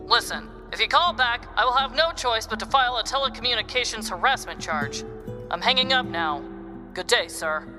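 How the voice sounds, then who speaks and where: A woman's voice: a little high, animated, moderate pace, music in the background, lightly acted. A woman playing a stern, formal school staffer in a comedy story, firm and officious with a caller.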